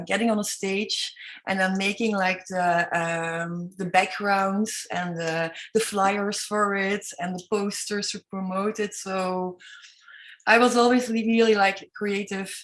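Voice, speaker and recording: A woman's voice: mid-range voice, slight background noise. Hesitant Belgian woman telling her story. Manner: hesitant, many ums and self-corrections, non-native English. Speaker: artist guest. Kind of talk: interview storytelling.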